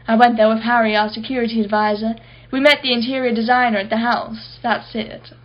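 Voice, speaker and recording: A woman's voice: a little high, flat delivery, a little fast, dull audio, close to an everyday voice. An actress playing an imperious, wealthy businesswoman in a radio play, guarded and impatient, speaking in a clipped, formal way. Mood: guarded, recounting.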